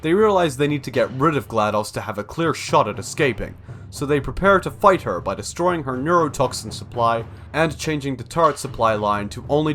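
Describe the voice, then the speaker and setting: A young man narrates a scripted video essay. His medium-pitched voice moves up and down in a lively way, with music playing behind him. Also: a little hiss or hum.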